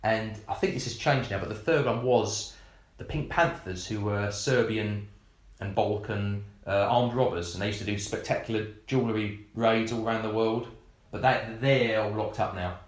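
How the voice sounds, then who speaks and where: A man's voice: on the low side, normal speed. A man, a podcast guest who talks through topics in a loose, rambling way with many restarts. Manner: rambling, frequent restarts and fillers. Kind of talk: casual podcast discussion.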